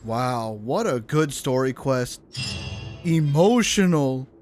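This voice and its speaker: A man's voice: medium pitch, lively intonation, slow pace, a little mellow. A man streaming a game live, chatting casually and enthusiastically, rambling and repeating his phrases. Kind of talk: casual livestream chat and reaction. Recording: stream with game music behind. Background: game music.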